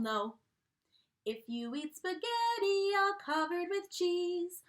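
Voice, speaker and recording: A woman's voice: high voice, pushed and bright, full character voice. A woman voicing a folksy, cheerful male dog who runs a restaurant, telling his tale theatrically in a children's read-aloud. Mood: proud, folksy. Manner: theatrical, exclamatory, down-home country talk. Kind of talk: expressive children's read-aloud with sung refrains.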